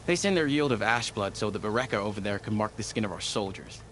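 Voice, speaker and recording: A man playing a grave, dutiful desert tribesman in a video game, speaking deliberately. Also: lowish voice, animated, fairly bright tone, a little hiss or hum. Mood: worried.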